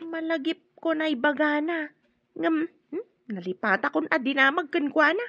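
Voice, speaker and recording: A woman's voice: high voice, moderate pace, pushed and bright, dry recording, highs cut off. An actress playing a gossipy, slightly scatterbrained, confiding woman in an Ilocano radio drama. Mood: puzzled, confiding.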